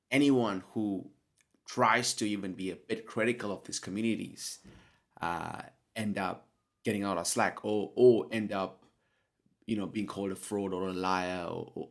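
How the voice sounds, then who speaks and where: A young man with a somewhat low voice hosts a solo podcast while nursing a cold, so he sounds a little sniffly. He speaks earnestly and hesitantly, often restarting a thought to get it right. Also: a bit bright. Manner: earnest, hesitant, self-correcting. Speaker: podcast host.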